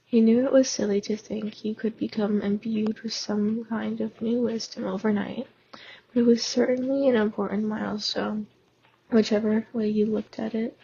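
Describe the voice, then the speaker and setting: A woman with a sore, hoarse throat reading fanfiction aloud in a casual way, stumbling and restarting now and then. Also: mid-range voice, level tone, normal speed, warm tone, dull audio, slight background noise. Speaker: fan audiobook reader.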